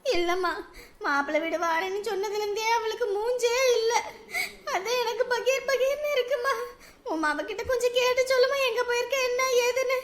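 A woman's voice: very high-pitched, normal speed, reverberant. A woman voicing a distraught village mother who pleads through tears for news of her missing daughter. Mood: anxious. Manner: pleading, sobbing.

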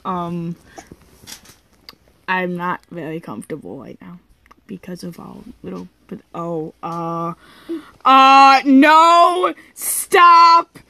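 A woman chatting casually while gaming, excitable and given to exclaiming in frustration. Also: fairly low pitch, slow, pauses often. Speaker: gaming video creator. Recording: noisy home recording with clicks.

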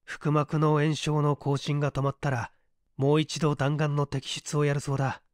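A man's voice: on the high side, completely flat delivery, normal speed, dry recording, studio quality, lightly acted. A man voicing a serious, concerned male colleague in an audiobook detective story, sober and matter-of-fact. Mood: grave. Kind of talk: Japanese audiobook character acting.

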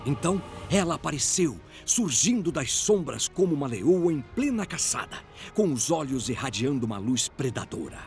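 A male narrator with a Brazilian accent reads a dramatic fantasy tale. His voice sits fairly high and moves through a wide, animated range. Music plays clearly behind him. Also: moderate pace, hardly any pauses.

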